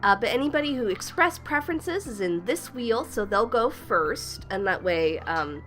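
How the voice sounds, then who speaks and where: A woman hosting a relaxed livestream, her voice bright and a touch high. She is a little stuffy from a cold, chats cheerfully, and greets viewers by name. Soft music plays faintly underneath. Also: normal speed. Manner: chatty, upbeat, greets viewers by name and laughs often. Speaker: livestream host.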